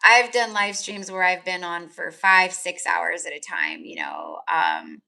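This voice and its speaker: A woman's voice: on the low side, expressive, measured pace, gravelly, pushed and bright. A woman tarot reader chatting casually on a live stream, rambling with lots of fillers, her voice tired and crackly. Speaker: tarot reader and energy healer.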